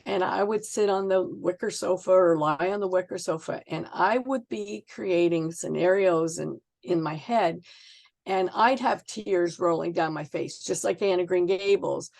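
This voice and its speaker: Older canadian lady being interviewed, low voice w lots of fry, chatty, tells little stories. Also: a little slow, darkish tone. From Ontario, Canada. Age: 60s. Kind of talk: casual interview storytelling.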